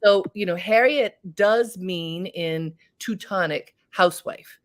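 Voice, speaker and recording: A woman's voice: on the low side, takes it slowly. A middle-aged woman hosting an interview, telling stories in an emphatic, conversational way. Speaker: host.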